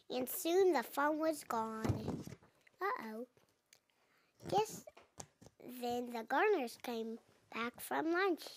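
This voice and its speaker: A four-year-old girl reading a picture book aloud, haltingly, sounding out and repeating words. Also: high voice, fairly bright tone. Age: young child, four and a half. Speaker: child reader.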